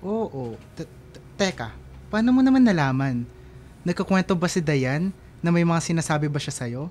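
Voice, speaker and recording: A man's voice: fairly high pitch, animated, darkish tone, a little hiss or hum, natural voice. Man acting lovesick, pleading young man. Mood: downcast, hesitant. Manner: pleading, earnest, halting. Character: lovesick, anxious young man calling his best friend from abroad. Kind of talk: radio drama acting in casual Filipino talk.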